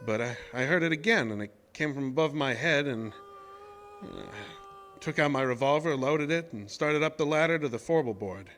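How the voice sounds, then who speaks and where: An actor playing a rough-spoken oil rig worker telling his own eerie tale in a colloquial, old-time radio horror monologue. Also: on the high side, normal speed, fairly bright tone, slight background noise, natural voice. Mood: uneasy, haunted. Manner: colloquial, folksy, haunted storyteller.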